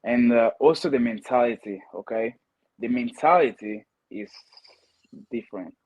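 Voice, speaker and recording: A man's voice: medium pitch, moderate pace, husky, no echo, phone-quality sound. A young man with a heavy Italian accent, a guest answering earnestly and often checking in with "okay?". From Italy. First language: Ghanaian language. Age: young adult. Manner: earnest, often tags lines with "okay?" and restarts words. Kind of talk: casual interview answers.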